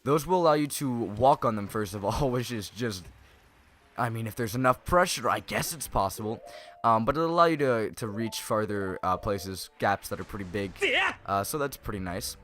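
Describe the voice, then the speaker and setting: A man's voice: on the low side, sing-song, moderate pace, a bit bright. A teenage young man giving casual, rambling, jokey commentary over a video game playthrough. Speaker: gaming YouTuber, host of a playthrough series. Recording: game music behind the voice. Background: video game music.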